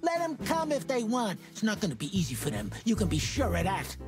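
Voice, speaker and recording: Excitable fly, defiant, streetwise, very lively pitch, noir game, music behind. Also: male voice, a little fast. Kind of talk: noir adventure game voice acting.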